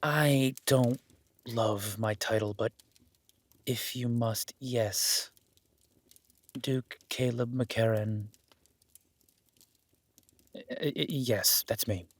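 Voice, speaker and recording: A man's voice: medium pitch, dry recording. A man playing an awkward, self-deprecating young werewolf duke in a roleplay audio drama, stammering and apologetic, speaking informally to the listener. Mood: bashful, self-deprecating.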